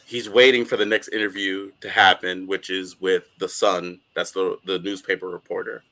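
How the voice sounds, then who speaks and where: A man co-hosting a casual podcast, talking in an animated way with frequent laughs and plenty of fillers. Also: sits low, moderate pace, rough recording. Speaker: podcast co-host. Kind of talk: casual chat.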